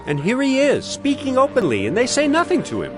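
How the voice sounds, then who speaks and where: An excitable townsman in a Bible drama. His voice is high and leaps about with wonder, over clearly heard music. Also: fairly quick, heavily acted. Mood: agitated, wondering. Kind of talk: dramatized audio Bible acting.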